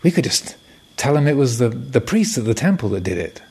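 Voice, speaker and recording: A man's voice: medium pitch, very animated, a little fast, a little mellow, breathy edge, full character voice. A man voicing a young fisherman, nervously laying out a scheme in a hesitant, conspiratorial way.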